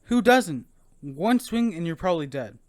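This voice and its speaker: A fairly high, dry voice of an eager youngster played by a man in an audio drama. He sounds like the small one of the team, keen and quick to defend himself. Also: big pitch swings, darkish tone, dry recording. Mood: excited, cocky.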